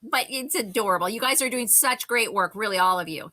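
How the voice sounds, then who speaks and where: Bright, encouraging woman directing a cast. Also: a little high, fairly quick, dry recording. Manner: effusive, encouraging. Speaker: drama class instructor.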